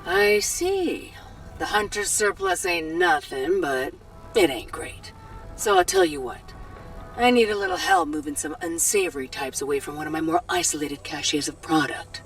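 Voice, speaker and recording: An actress playing a shrewd, friendly weapons dealer in a video game, talking in a lilting, slangy, salesmanlike way. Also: female voice, mid-range voice, huge pitch swings, moderate pace, gravelly, recorded from a distance, soft background music, exaggerated voice. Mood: persuasive, upbeat. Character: shrewd, friendly female weapons dealer, folksy frontier trader.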